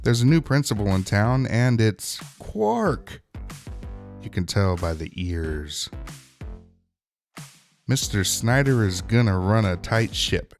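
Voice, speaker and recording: Male recap host, lowish voice, wry and colloquial, casual narration over faint music. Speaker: host of a TV episode recap show.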